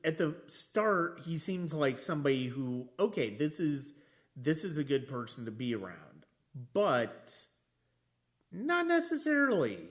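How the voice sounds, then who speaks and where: A man hosting a podcast, talking casually about films in a reflective, halting way with frequent restarts. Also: on the high side, big pitch swings. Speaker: podcast host. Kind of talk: casual film discussion.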